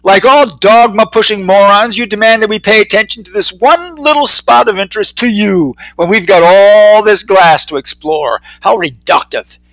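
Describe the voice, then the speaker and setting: A man's voice: high voice, normal speed, fairly bright tone, no echo, voice pushed up, poor audio. A man voicing a pompous, sneering fly. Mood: lecturing. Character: pompous loud male fly, know-it-all.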